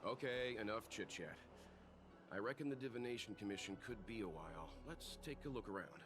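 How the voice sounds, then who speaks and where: An actor playing a calm, reassuring older companion in an anime-style video game, speaking in a measured, gently avuncular way. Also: male voice, on the low side, lightly acted. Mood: brisk, friendly.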